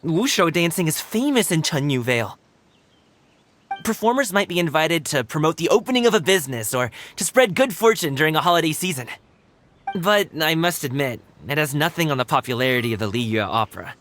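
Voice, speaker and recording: Game casting: friendly young male guard, upbeat and helpful, bright forceful tone pitched high. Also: very animated, brisk, a little hiss or hum. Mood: reassuring. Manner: upbeat, breezy, reassuring. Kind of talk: animated game dialogue.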